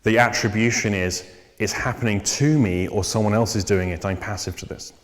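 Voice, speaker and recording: A man teaching about psychotherapy in a measured, thoughtful way, pausing often with ums and restarting his sentences. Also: fairly low pitch, fairly even intonation. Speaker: psychotherapy trainer. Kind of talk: unscripted lecture on therapy. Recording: long reverb.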